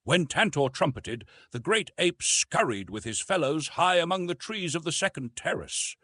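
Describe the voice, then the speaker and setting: A man narrating a classic adventure story as an audiobook, in a measured, dramatic storytelling manner. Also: on the high side, expressive, bright, forceful tone, dry recording.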